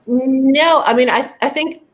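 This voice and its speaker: A middle-aged woman puppeteer on a video call, speaking deliberately with hesitant restarts. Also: sits high. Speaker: guest puppeteer. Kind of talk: casual video call conversation. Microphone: video call from home.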